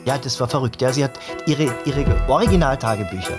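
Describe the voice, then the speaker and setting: An actress playing an earnest, gushing radio co-presenter, halting and slangy, repeating herself in emphatic agreement. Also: female voice, a bass voice, lively intonation. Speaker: radio co-host. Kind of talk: casual radio banter. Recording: music behind the voice. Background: music.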